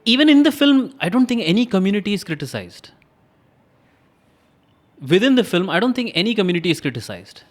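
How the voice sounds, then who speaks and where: A man's voice: high voice, sing-song, fairly quick, pauses often. A man with an Indian accent chatting casually and with conviction on a podcast, telling stories informally and repeating points for emphasis. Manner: emphatic, informal storytelling with rhetorical repetition. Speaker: podcast guest. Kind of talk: casual opinionated podcast conversation.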